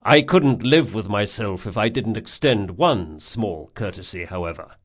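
A man playing a polite, formal and guarded gentleman client in a fantasy audiobook. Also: lowish voice, expressive, unhurried. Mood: courteous, flattering. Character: courteous, smooth-talking male client, formal and guarded.

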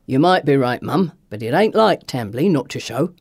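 A woman voicing a gruff working-class man. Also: very deep voice, lively intonation, moderate pace, darkish tone, no echo, studio quality, pitched below the performer's own voice, full character voice. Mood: uneasy. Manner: rough dialect speech, grumbling.

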